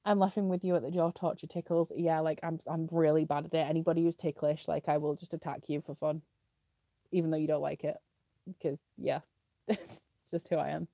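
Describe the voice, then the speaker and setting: Young british girl rambling through a live q&a, a bit sniffly, low-ish mellow voice, says like a lot. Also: fairly even intonation, a little fast, vocal fry, dry recording. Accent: British English. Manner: chatty, many "like" fillers. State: sniffly with a cough. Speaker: booktuber answering viewer questions. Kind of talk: casual live Q&A chat.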